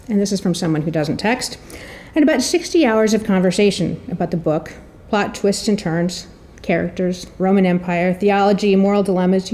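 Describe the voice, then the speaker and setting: A woman introduces a speaker at a public presentation. Her voice is mellow and dark-toned, and she delivers her prepared speech like a story, theatrically, with rhetorical questions and deliberate pauses. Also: medium pitch. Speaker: editor and marketing director introducing an author.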